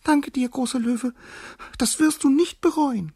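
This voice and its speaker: Storybook mouse, played by a man: tiny, timid, very high squeaky voice, stammering and submissive. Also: a little slow, a little mellow, breathy edge, no echo, clean studio sound, full character voice. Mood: grateful, reassuring. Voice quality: squeaky, thin. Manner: stammering, pleading.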